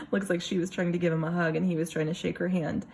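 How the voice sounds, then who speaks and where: A woman reading a picture book aloud like a kindergarten teacher, expressive, pausing for casual asides to the children. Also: lowish voice, a little hiss or hum.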